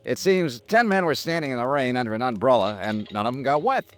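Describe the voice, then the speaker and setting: An actor playing a wry, caring American investigator hero in old-time radio detective style, casual and colloquial. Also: male voice, medium pitch, expressive, a little fast, close to an everyday voice. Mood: playfully joking. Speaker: lead actor and narrator. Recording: old radio drama with music.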